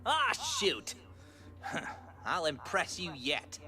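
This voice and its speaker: A man acts out a young male cartoon pony who relishes his threats. The pitch leaps around constantly as he drags out mocking, chuckling taunts. Music plays clearly behind him. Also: big pitch swings, very slow, pushed and bright, heavily acted. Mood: playful, smug. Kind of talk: fan fiction audio drama acting.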